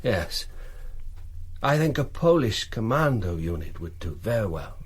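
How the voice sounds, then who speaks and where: Measured, husky German wartime colonel. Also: male voice, lowish voice, big pitch swings, heavily acted. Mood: confident, explaining. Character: shrewd, eager German military intelligence colonel in wartime. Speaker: radio drama actor. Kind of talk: radio drama acting.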